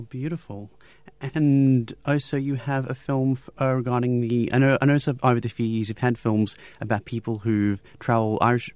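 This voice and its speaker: A male radio presenter interviewing a guest, with brisk, eager interjections. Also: fairly low pitch, darkish tone, dry recording.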